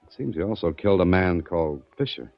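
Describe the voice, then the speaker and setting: Deep-voiced, wisecracking radio sleuth. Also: male voice, animated, fast talker, dry recording. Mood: probing. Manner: wisecracking, hard-boiled delivery. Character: wry, tough male amateur sleuth, brash and dogged. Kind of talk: 1940s radio detective acting and narration. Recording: muffled 1940s radio recording.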